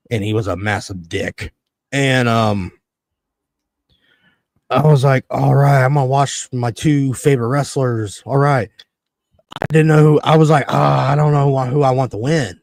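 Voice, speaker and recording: Thirties male host, animated and profane, mid-pitched voice, dry recording. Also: a little slow, pauses often, dry recording. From Connecticut, USA. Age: 30s. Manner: excitable, swears freely, laughs often. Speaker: podcast host. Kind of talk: casual wrestling chat.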